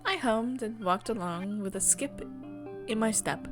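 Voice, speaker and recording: A woman's voice: fairly high pitch, lively intonation, a little slow. Woman reading as Alice, a wandering curious girl, over music. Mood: pensive. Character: Alice, wandering curious girl narrating her dreamlike fall. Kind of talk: scripted visual novel narration read aloud.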